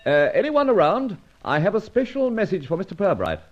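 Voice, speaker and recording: A dull, lo-fi old radio recording carries a suave British gentleman adventurer. His voice is slightly husky and swings dramatically in pitch. He talks in flippant, jaunty old-fashioned slang, always wry and sure of himself. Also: sing-song, a little fast, soft background music. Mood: feigned politeness. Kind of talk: old-time radio detective drama acting.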